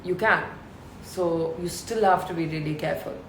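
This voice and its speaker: A woman in her thirties with a deep voice, chatting casually with fans on a live stream and reading out their questions. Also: moderate pace, echoey room, a little hiss or hum, low-quality recording. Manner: chatty, laughs often, reads out questions.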